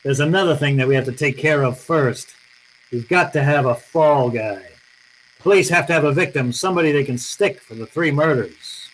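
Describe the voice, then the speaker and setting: An actor playing a tough, cool private detective in an old-time radio drama, speaking in blunt, clipped slang. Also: male voice, fairly high pitch, normal speed, slight background noise, lightly acted, low-quality recording. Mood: firm, businesslike.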